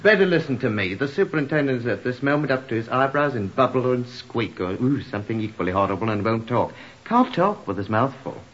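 A man's voice: mid-range voice, animated, brisk, a bit bright, close to an everyday voice. An actor playing a flippant, garrulous man with macabre humour and wordy, mock-literary talk in a radio drama. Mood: droll. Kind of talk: old-time radio drama acting. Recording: dull, muffled old radio recording.

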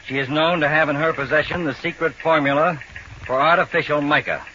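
An actor playing a stern counterspy chief, giving a clipped, authoritative bulletin in an old radio drama. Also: male voice, on the high side, steady tone, brisk, bright, forceful tone. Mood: urgent authority. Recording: dull old radio recording, faint noise.

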